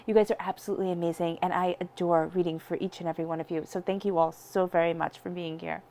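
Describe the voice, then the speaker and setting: A woman's voice: fairly low pitch, little pitch movement, fast, mellow. A woman with a stuffy, congested voice from a cold, giving a chatty tarot reading straight to her viewers. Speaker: tarot reader. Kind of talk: direct-to-camera tarot reading.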